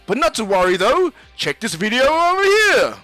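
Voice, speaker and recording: A man's voice: extremely high pitch, wildly swooping pitch, normal speed, bright, forceful tone, no room sound, soft background music, voice pushed up, exaggerated voice. A man voicing a grand, encouraging male superhero teacher from an anime story, proclaiming formally and emphatically. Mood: grand announcing. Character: All Might, booming heroic male teacher, grandly encouraging.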